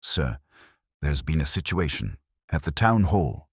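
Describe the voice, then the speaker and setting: A man's voice: extremely low pitch, very animated, unhurried, husky, no room sound, studio quality, heavily acted. Man voicing a grave, dutiful police constable. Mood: nervously grave.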